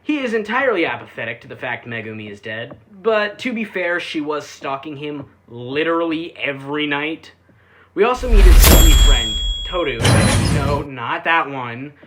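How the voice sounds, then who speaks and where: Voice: medium pitch, expressive, moderate pace, background noise. A reviewer narrating a scripted but casual video review, with sarcastic asides and dry humour.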